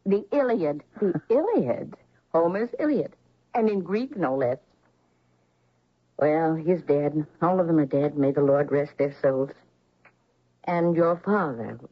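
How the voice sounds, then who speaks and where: A low, genteel older woman's voice, a nostalgic mother in a classic radio play. She talks in refined, theatrical phrases, and the recording is dull and lo-fi. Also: lively intonation, slow, pauses often, heavily acted. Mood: reminiscing. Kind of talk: old-time radio drama acting.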